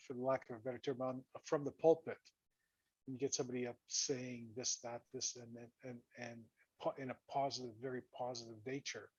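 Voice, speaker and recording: A husky, hoarse man hosts a relaxed online chat, stumbling and repeating words as he goes. His voice sits at a middling pitch and comes through a dull, muffled call line. The sound is close and dry, with no room around it. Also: no echo. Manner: halting, repeats and restarts words. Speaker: host. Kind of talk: casual talk-show chat reading viewer comments.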